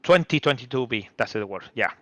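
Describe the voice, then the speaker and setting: A man's voice: medium pitch, lively intonation, fairly quick, a bit bright, dry recording. A man giving color commentary on a sim racing broadcast, hesitant and full of fillers as he searches for names and words.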